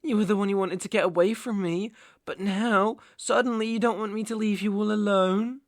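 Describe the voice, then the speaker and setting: A gravelly British mafia boss, acted by a man for an ASMR roleplay, close to the mic in a very dry space. He is possessive and unstable, speaking with brooding, condescending affection and a creaky edge. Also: high voice, fairly even intonation, normal speed, no echo. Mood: soft, condescending. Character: possessive, unstable male mafia boss, menacingly tender ex-lover. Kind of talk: ASMR roleplay, dramatic monologue.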